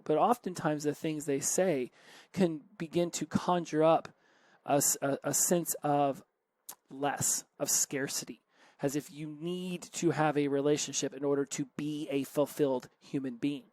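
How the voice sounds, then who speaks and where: A man's voice: fairly high pitch, a little mellow, dry recording. A man hosting a solo self-help podcast, talking earnestly and conversationally with frequent self-corrections. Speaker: podcast host.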